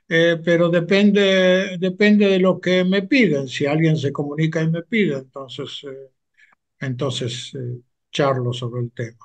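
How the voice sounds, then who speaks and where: Older guy, 70s, slow careful video call talk, lots of eh pauses, headset. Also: fairly high pitch. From Argentina. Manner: measured, hesitant with frequent "eh" pauses. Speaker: interview guest, retired sociologist. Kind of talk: slow, thoughtful interview answers. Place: home room with bookshelves.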